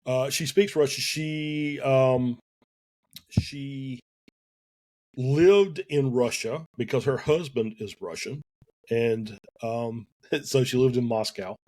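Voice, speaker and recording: A man with a Southern US accent, a guest in a casual podcast interview, talking in halting phrases with many fillers and restarts. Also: medium pitch, darkish tone. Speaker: guest, sim racing team co-owner.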